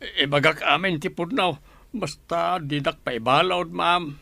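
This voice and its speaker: A man's voice: fairly high pitch, unhurried, vocal fry, dry recording, full character voice. A man acting a fearful accomplice in an audio drama, hesitant and pleading to stay out of jail. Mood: nervous, compliant. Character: fearful, pleading male accomplice begging not to be jailed. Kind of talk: Ilocano radio drama acting. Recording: loud music under the voice.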